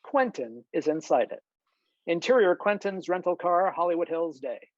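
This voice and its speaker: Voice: medium pitch, animated, normal speed, no room sound. A narrator reading out screenplay stage directions in scripted narration over a video call. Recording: dull, lo-fi video call audio.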